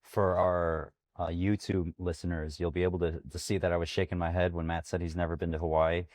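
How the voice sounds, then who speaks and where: A man's voice: deep voice, level tone, fairly quick, a little mellow, no room sound, studio quality. A man chatting casually as a sports podcast co-host. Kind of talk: casual chat.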